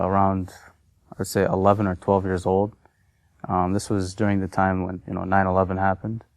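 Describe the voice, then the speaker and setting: A man's voice: low voice, darkish tone, no echo. A young man from Massachusetts with an American accent, giving an informal personal testimony in a hesitant, reflective, conversational way. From Massachusetts, United States. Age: young adult. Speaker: convert telling personal story.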